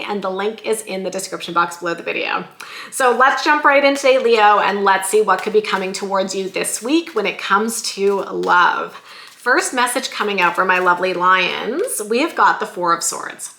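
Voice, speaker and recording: A woman giving a weekly tarot love reading in a chatty, lively way, her voice going a little hoarse. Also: mid-range voice, normal speed, reverberant. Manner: chatty, lively, says "like" and "you guys" often. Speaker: tarot reader.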